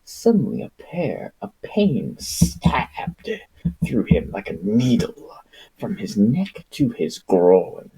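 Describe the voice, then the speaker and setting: Male story reader: dramatic, unhurried, rough-edged voice, big melodic swings. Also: mid-range voice, wildly swooping pitch, warm tone, low-quality recording. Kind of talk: expressive audiobook-style narration.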